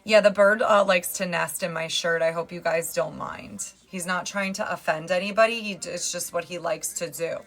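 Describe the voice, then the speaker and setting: A woman's voice: on the low side, fairly even intonation, moderate pace, few pauses, fairly bright tone. A woman with a New Jersey accent chatting casually on a fitness livestream. She jokes around and greets viewers by name. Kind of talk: casual live-stream chat.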